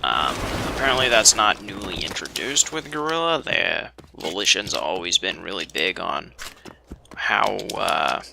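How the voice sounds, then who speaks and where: A man's voice: low-pitched, expressive, a little slow, gravelly, noisy background. A man casually commentating a video game as he plays, thinking aloud and swearing mildly. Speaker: let's player. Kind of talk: casual blind let's play commentary.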